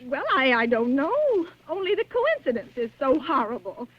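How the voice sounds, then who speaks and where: Nervy haughty invalid wife, old radio thriller, stammery, voice jumps all over, dry dull vintage sound. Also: female voice, sits high, big pitch swings, moderate pace, no room sound. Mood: anxious, demanding. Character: anxious, imperious, bedridden invalid wife, demanding and indignant. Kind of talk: 1940s radio suspense acting. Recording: muffled vintage AM radio recording.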